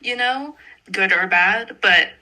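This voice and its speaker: A young woman from Montreal speaks in a strikingly bright voice. She talks casually as an interview guest, in loose, chatty phrases full of "like". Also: medium pitch, slow, rough recording. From Montreal, Canada. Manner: chatty, informal, sprinkled with "like". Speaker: singer-songwriter interview guest.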